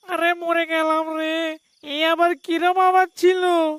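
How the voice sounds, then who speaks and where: A woman's voice: very high-pitched, little pitch movement, slow, noisy background. A woman voicing a cartoon village thief, a frightened petty crook who whines and pleads in panic. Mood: panicked. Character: terrified, whining petty male village thief. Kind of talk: cartoon voice acting.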